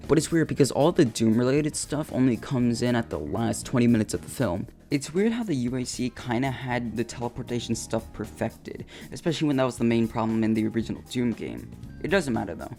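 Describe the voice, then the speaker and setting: A man's voice: medium pitch, expressive, brisk, warm tone. A man delivering casual, scripted comedic commentary for a video essay, with a flippant, slangy, jokey manner. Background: upbeat video game music.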